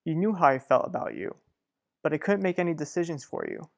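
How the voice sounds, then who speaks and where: A man playing a reserved, gravely concerned confidant and old flame in a radio soap opera, speaking in a measured way. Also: a little high, huge pitch swings, exaggerated voice. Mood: guarded, wounded.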